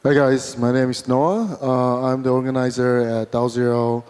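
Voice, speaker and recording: A man's voice: medium pitch, fairly even intonation, normal speed, a little mellow, smooth. A man from Taiwan speaking as a panelist and organizer, hesitant and repeating words as he goes. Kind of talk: casual panel talk. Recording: long reverb of a large hall.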